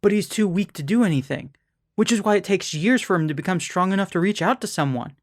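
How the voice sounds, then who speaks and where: Animated, wary woman. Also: fairly low pitch, fast talker. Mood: wary, serious. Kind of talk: audio drama dialogue.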